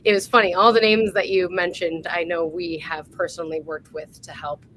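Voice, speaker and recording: Female host, lowish register, informal and chatty interviewer; slightly noisy, rumbly recording. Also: little pitch movement, normal speed, a bit bright. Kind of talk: casual interview.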